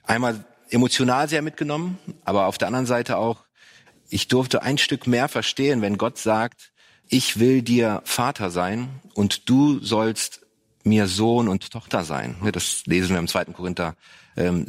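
Male pastor, low-pitched, halting and reflective, panel guest. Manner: reflective, halting, with many fillers. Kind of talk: panel discussion on parenting and faith.

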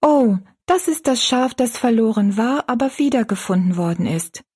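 A woman voicing an earnest, pious young girl in a storybook, gently and politely explaining things. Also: on the high side, expressive, moderate pace, breathy edge, voice pushed up. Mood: earnest, warm.

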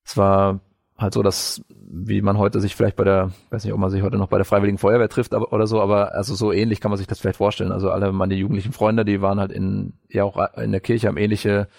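A man from northern Bavaria telling his life story as an interview guest, halting as he searches for words. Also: on the low side, flat delivery, gravelly, darkish tone, dry recording, studio quality. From northern Bavaria, Franconia, Germany. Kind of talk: personal life story in conversation.

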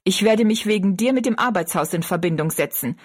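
A woman's voice: mid-range voice, expressive, a little fast, breathy edge. A woman voicing a harsh, contemptuous stepmother in an audiobook story, stern and cutting. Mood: cold, stern. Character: cold, scornful stepmother, harsh mistress of the house.

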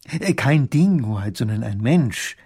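A man voicing an obsequious ship captain who flatters with flowery courtly phrases. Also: medium pitch, a little slow, breathy edge. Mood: fawning.

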